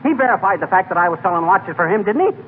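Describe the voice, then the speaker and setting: An actor playing an excitable, grumbling, slangy small-town husband in a folksy, blustering 1940s radio comedy style. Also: male voice, high voice, rapid-fire, narrow, tinny audio. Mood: indignant. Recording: scratchy, thin 1940s radio recording.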